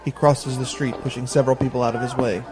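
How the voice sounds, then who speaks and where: A man's voice: medium pitch, level tone, fast. A man reading scripted narration as the narrator of an audio drama. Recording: music bed behind voice.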